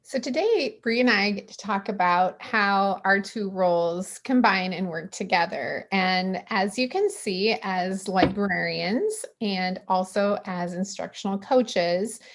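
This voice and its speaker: A school librarian from Iowa opening and hosting a webinar in an upbeat, welcoming way. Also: female voice, medium pitch, slow pace, hardly any pauses. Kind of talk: webinar presentation.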